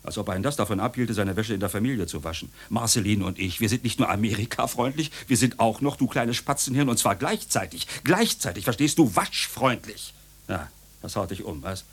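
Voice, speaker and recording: A man's voice: lowish voice, expressive, brisk, husky. An actor in a radio play voicing a grumpy, stubborn man who is sarcastic and blustering. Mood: scornful, irritated. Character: grumpy, stubborn, lazy man scolding and griping. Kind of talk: German radio play acting.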